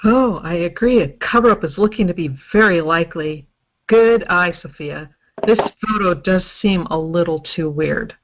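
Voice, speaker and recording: Slightly dull, lo-fi audio of a woman acting as a female investigator in an old-time radio style play. She is skeptical and decisive, with a voice a little on the low side. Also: normal speed. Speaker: investigator in an amateur audio drama.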